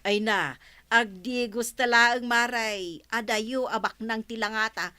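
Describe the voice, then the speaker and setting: A woman's voice: a little high, slow pace, bright, forceful tone, clear, resonant tone, dry recording. A woman with an Ilocano accent playing a gossipy village neighbor in a comedy radio drama.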